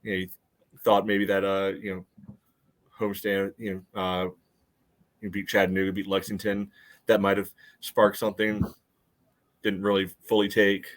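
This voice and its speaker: Male co-host for sports podcast, lowish voice, measured and tentative, casual analysis. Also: flat delivery. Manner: measured, hedging, thinking aloud.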